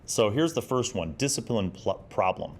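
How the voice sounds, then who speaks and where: A man in his forties giving a casual, nostalgic review in a conversational, halting way. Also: lowish voice, lively intonation, a little mellow. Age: 40s. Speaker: host of a gaming magazine review series.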